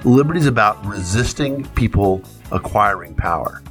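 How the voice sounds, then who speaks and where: Low-pitched man hosting talk radio. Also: lively intonation, normal speed, a bit bright, soft background music. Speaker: radio talk show host.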